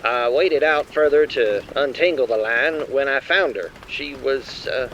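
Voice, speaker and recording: A man's voice: mid-range voice, measured pace, a bit bright, highs cut off, a little hiss or hum. An actor playing a shaken, queasy fisherman stammering hesitantly through an eyewitness TV interview. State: shaken, nauseated. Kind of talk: TV news eyewitness interview acting.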